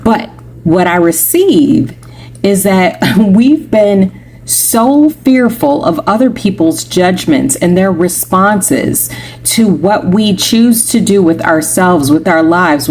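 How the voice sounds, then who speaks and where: A woman with a deep voice giving a casual, chatty motivational talk to the camera, full of rhetorical questions and playful asides. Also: darkish tone, slight background noise. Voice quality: deep voice, groggy when tired. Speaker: spiritual reader.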